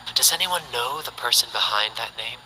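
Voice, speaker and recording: A solemn trailer narrator. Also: male voice, mid-range voice, expressive, a little fast, bright, forceful tone, slight background noise, rough recording. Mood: mysterious.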